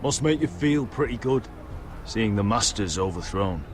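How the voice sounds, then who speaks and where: An actor playing a proud, confident young highborn mercenary in a video game, assured and probing. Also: male voice, animated, normal speed, natural voice. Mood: wry.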